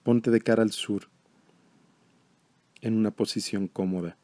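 A man leading a guided meditation in a calm, measured, instructional way. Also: fairly low pitch, no echo.

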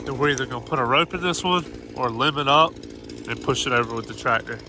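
A man's voice: mid-range voice, fairly quick, vocal fry. Practical man explaining tree work outdoors. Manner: plain, practical, explaining each step. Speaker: tree service worker filming his job. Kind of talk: casual on-the-job explanation. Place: outdoors on a farm. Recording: outdoor noise, chainsaw and tractor.

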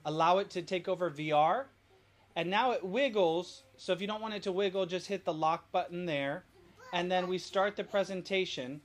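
A man's voice: high-pitched, normal speed. A middle-aged man, a father casually directing his family and explaining steps as he goes. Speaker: father leading a family activity and app demonstration. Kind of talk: casual instructional family vlog. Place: family home.

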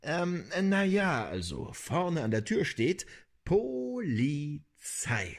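An actor playing a reluctant, sarcastic police officer with a husky voice in a radio play. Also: male voice, on the high side, sing-song, drawn-out words, exaggerated voice. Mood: dry, irritated.

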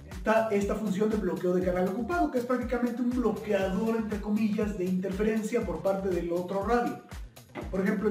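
Young Mexican male tutorial host, high-pitched voice, informal explaining style, recorded from a distance in a reverberant room. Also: a little mellow. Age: young adult. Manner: friendly direct address to viewers. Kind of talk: informal instructional explanation with practical examples. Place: small bare indoor room at a table. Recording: echoey bare room, distant microphone.

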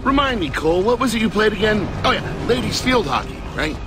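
A wisecracking soldier in a game who needles his squadmates with sarcasm. His pitch moves a great deal, with game music playing underneath. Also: sing-song, brisk. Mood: teasing. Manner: sarcastic and teasing. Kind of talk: video game cutscene acting.

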